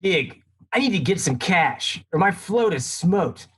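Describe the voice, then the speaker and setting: An actor playing a hungover, cranky young float racer with a husky voice and exasperated, whiny delivery in a comic radio drama. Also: mid-range voice, animated, measured pace, music in the background, natural voice. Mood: panicked.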